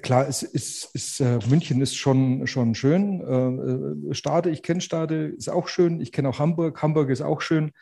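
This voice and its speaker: A man's voice: fairly high pitch, steady tone, measured pace, gravelly, few pauses, mellow. A man with a Bavarian accent, a real estate agent guest on a podcast who speaks outspokenly but with many hesitant restarts. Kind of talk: podcast interview.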